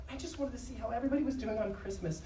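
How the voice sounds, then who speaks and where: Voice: medium pitch, fairly quick, warm tone, a little hiss or hum, close to an everyday voice. An actor playing an anxious storyteller who confides in listeners, informal and personal, in a live audio drama. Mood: uneasy. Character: anxious, confiding storyteller sharing found diary notes. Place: convention live show stage. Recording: echoey live stage, distant mic.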